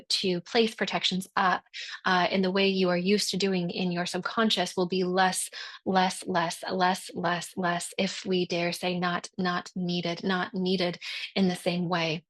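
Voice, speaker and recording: A woman delivering a channeled spiritual message in a slow, halting way, often repeating words and calling her listeners "dear ones.". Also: lowish voice.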